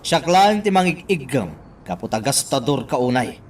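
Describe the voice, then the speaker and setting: A man's voice: mid-range voice, very animated, moderate pace, a little hiss or hum, close to an everyday voice. A Filipino man playing an exasperated, stingy husband in a radio drama, scolding with emphasis. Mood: nagging.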